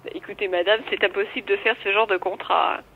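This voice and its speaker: A woman's voice: mid-range voice, steady tone, fast talker, slightly rough. A woman working as an office receptionist, explaining firmly and protestingly to a caller over a narrow telephone line.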